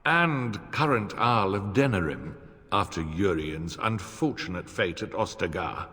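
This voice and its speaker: A man's voice: low voice, animated, measured pace, bright, forceful tone, exaggerated voice. An actor playing a smug, scheming nobleman villain in a fantasy game, haughty and sneering in his delivery. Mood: smug, contemptuous. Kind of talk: dramatic fantasy game cutscene acting. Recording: long reverberant hall echo.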